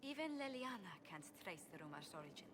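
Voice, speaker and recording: A formal, measured court advisor. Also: female voice, on the low side, big pitch swings, a bit bright, slight background noise. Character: formal female advisor presenting prisoners. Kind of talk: video game voice acting.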